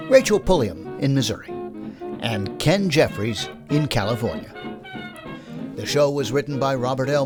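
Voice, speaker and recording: A man from the United States hosting, reading a scripted podcast outro as the show's director. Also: medium pitch. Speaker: director and host. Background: music.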